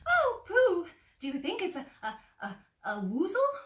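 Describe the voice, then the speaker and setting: Woman voicing a squeaky, excitable little piglet. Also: a little slow, roomy sound, distant mic, exaggerated voice. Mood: excited, nervous. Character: small, timid, excitable male piglet.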